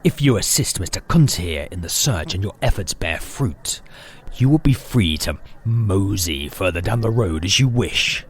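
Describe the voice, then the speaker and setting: A man plays a calculating cult leader in an audio drama. His low voice stays measured and silky while every question carries a threat. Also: animated, a little hiss or hum. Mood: probing, silky. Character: smooth, calculating male cult leader interrogating a stranger.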